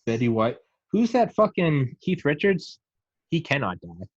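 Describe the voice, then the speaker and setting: A man's voice: on the high side, very animated, unhurried, husky, dry recording, lo-fi sound. A man in his thirties chatting casually, rambling and swearing while he jokes. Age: 30s. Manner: profane, rambling, joking. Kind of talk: casual podcast banter.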